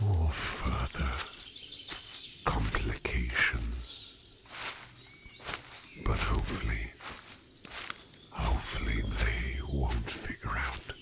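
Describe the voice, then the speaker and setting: A man playing a courteous, secretive sleep demon in disguise for an ASMR roleplay, speaking in formal, old-fashioned, halting phrases in a breathy near-whisper. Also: extremely low pitch, very noisy, full character voice. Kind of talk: soft-spoken ASMR roleplay addressed to the listener.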